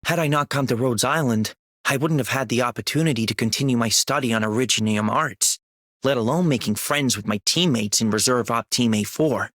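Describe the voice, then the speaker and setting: A man voicing a studious, polite young operator in a game, formal and measured. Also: medium pitch, fairly bright tone, no room sound, clean studio sound, lightly acted. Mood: grateful, earnest. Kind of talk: scripted game voice lines.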